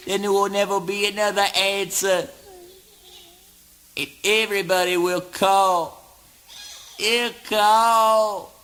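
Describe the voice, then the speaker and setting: A man playing an anguished, raving son who pleads with his father in a halting, incantatory spoken word monologue. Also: high-pitched, sharp tone, a little hiss or hum, exaggerated voice. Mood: pleading.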